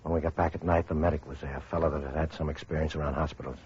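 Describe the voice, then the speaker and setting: A man's voice: a bass voice, little pitch movement, rapid-fire, darkish tone, slightly rough, heavily acted. An actor playing a sober, caring survivor who narrates his hardship plainly in an old-time radio drama. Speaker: narrator and character. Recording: dull vintage radio recording, faint music.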